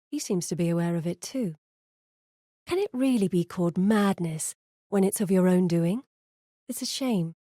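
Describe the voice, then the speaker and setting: An actress playing an earnest, burdened woman explorer in a fantasy video game, speaking in a measured, articulate way. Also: mid-range voice, mellow, no echo, clean studio sound, close to an everyday voice. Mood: concerned. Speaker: video game character voice actor. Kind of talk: dramatic fantasy game dialogue.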